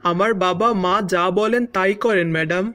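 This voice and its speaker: A woman's voice: mid-range voice, unhurried, fairly bright tone, slight background noise, natural voice. A woman voicing a cheeky, smart-alecky schoolboy with mock-respectful answers in a cartoon joke sketch. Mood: cheeky, matter-of-fact. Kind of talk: Bangla cartoon joke dialogue.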